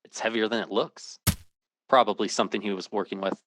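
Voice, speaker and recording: A man acting an earnest, low-voiced worried brother. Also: fairly even intonation, fairly bright tone, dry recording, natural voice. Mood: puzzled, searching. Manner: halting, questioning, earnest. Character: anxious, determined father searching for his missing brother. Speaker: protagonist and narrator. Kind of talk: radio drama acting.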